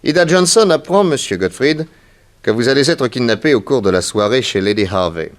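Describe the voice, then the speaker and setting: An actor playing a confident, authoritative detective hero in a theatrical 1960s French radio serial. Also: male voice, medium pitch, animated, brisk, close to an everyday voice. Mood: assured, explanatory. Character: confident, commanding male private detective hero.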